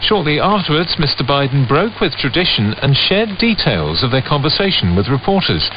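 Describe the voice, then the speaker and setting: A man reading a scripted radio news bulletin in a steady, even way. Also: on the high side, normal speed. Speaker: newsreader. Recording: muffled shortwave radio with faint static.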